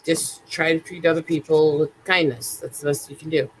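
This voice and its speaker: A woman's voice: deep voice, level tone, moderate pace, a little hiss or hum, rough recording. A woman in casual livestream chat, talking bluntly and outspokenly.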